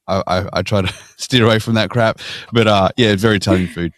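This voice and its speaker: A man's voice: fairly low pitch, fairly even intonation, brisk, no room sound, clean studio sound. An Australian man, a podcast guest, telling his story in an easygoing way and laughing often. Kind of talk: casual interview chat.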